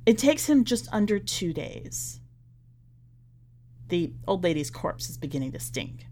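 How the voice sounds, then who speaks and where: A woman narrating literary fiction from a script, with measured, deliberate phrasing that falls away at the ends of lines. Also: medium pitch, sing-song, warm tone, slight background noise. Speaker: podcast editor reading a short story aloud.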